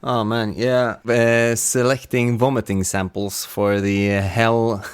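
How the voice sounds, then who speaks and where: Low, smooth-voiced man, slow pace. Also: flat delivery. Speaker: sound designer. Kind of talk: behind-the-scenes casual chat.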